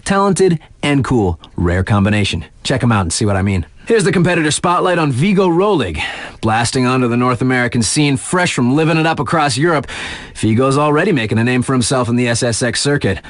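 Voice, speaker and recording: A man's voice: medium pitch, expressive, fairly quick, no room sound, studio quality, close to an everyday voice. A man playing a hip, laid-back radio DJ in a video game, giving casual, slangy sports patter with playful conversational asides. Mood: upbeat, playful. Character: hip, laid-back male radio DJ covering snowboard races.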